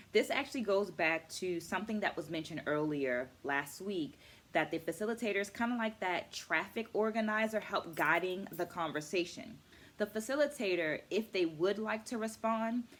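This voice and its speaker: A middle-aged woman hosting a book video series, talking conversationally to camera in a measured, teacherly, explanatory way. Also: deep voice.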